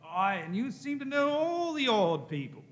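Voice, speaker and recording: Man playing high-pitched constable, swooping intonation. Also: sing-song, normal speed, a bit bright, exaggerated voice. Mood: suspicious, scolding. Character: gruff, suspicious British police constable. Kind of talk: live radio play reading.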